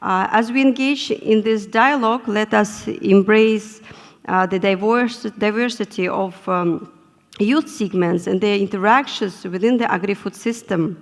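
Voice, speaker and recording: A woman moderating a conference panel in a measured, formal manner, often pausing with fillers. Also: medium pitch. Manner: measured, with frequent hesitations. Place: conference hall. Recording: echoey conference hall.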